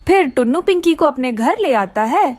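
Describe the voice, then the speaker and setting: A woman's voice: sits high, expressive, moderate pace, a little mellow, no room sound. A woman narrating a scripted children's story.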